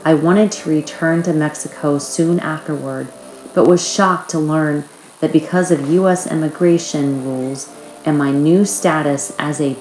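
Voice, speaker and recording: Female narrator, low register, reading a memoir-like note, roomy sound with faint music. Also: darkish tone. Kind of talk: reading a first-person author's note aloud.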